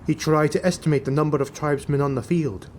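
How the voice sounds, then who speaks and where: A man's voice: fairly high pitch, fairly even intonation, quick pace, a little mellow, a little hiss or hum. A man reading a fantasy audiobook as a scripted, dramatic narration. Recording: music bed behind the voice. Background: music.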